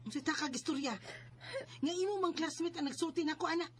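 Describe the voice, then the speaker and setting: A woman's voice: high voice, fast, natural voice. A woman with a Cebuano Filipino accent playing a protective, scolding married older sister in a radio drama. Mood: upset. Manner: nagging, emphatic. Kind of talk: Bisaya radio drama acting.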